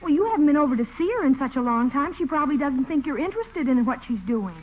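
An actress playing a sweet, reasonable young niece in 1940s radio comedy style. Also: female voice, high-pitched, animated, fast. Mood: gently persuasive. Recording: old tinny 1940s radio broadcast, hissy.

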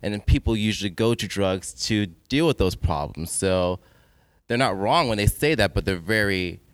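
American man, podcast host, deep register, dry sound, conversational. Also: animated, normal speed, smooth, no room sound.

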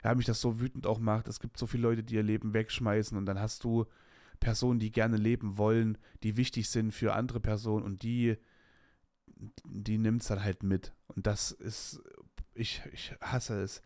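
A man's voice: fairly low pitch, level tone, moderate pace, darkish tone, dry recording. Late 20s guy rambling on his podcast, slangy and candid, dry close sound. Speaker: podcast host. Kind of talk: casual personal monologue.

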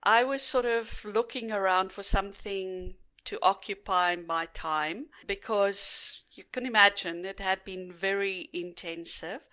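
A middle-aged woman with a South African accent talks at a leisurely pace, her first language Afrikaans. Her voice is bright and forward, and she often pauses on "uh" as she recalls things, in a relaxed interview setting. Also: mid-range voice. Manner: unhurried, with frequent fillers. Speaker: guest. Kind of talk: casual interview.

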